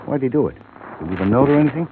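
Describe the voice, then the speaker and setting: An actor playing a gruff, reluctant confidant in a 1950s radio crime drama, blunt and conversational while holding back. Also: male voice, medium pitch, very animated, very fast, natural voice. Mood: musing, subdued. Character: gruff, reluctant friend of a dead policeman. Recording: muffled, noisy old radio recording.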